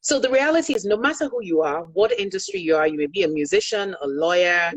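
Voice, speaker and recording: A woman's voice: mid-range voice, big pitch swings, fast, gravelly, no echo, rough recording. A middle-aged Nigerian woman, a Yoruba speaker, explaining energy business in an online interview in an articulate, pragmatic, emphatic way. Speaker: guest, energy consultant.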